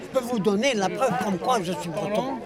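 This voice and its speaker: An elderly man from Algeria, in his eighties, spins a lively anecdote for a circle of friends, his voice pitched fairly high. He talks in a loose, chatty way. It's a home-video recording made outdoors with a crowd chattering all around. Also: normal speed. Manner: lively, chatty, laughs while telling. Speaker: storyteller among friends. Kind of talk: casual anecdote. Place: outdoor gathering.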